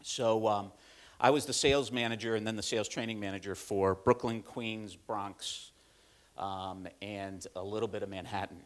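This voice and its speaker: A man's voice: lowish voice, fairly even intonation, moderate pace. A middle-aged man from upstate New York giving a conference presentation, telling self-deprecating stories with many fillers and restarts. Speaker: corporate learning speaker. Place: conference room.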